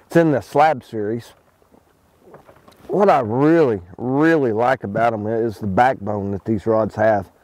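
A man's voice: medium pitch, measured pace, low-quality recording. Middle-aged man explaining while fishing outdoors. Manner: relaxed, explains as he fishes, many ums and restarts. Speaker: fishing pro. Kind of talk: casual how-to fishing talk. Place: on a fishing boat on an open lake, outdoors.

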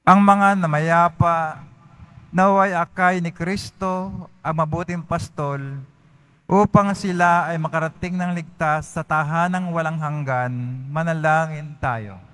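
Lector, man, fairly high clear voice, formal steady reading. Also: takes it slowly. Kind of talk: reading prayer intentions at mass.

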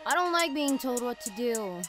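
A woman's voice: on the high side, lively intonation, moderate pace, natural voice. A woman playing a brash, hot-tempered young superheroine in a comic dub, over prominent music. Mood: angry. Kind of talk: acted comic dub dialogue.

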